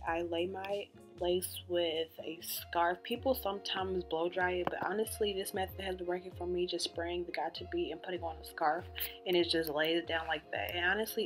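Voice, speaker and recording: A woman in her twenties with a dry, deadpan voice, chatting casually through a hair tutorial as a beauty vlogger. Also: on the low side. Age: 20s. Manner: monotone, deadpan delivery. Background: background music.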